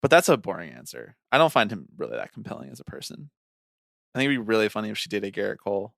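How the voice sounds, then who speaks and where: A man's voice: lowish voice, huge pitch swings, fast talker, creaky, a bit bright, dry recording, clean studio sound. A man co-hosting a podcast in casual banter, with a teasing, opinionated way of talking. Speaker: podcast co-host.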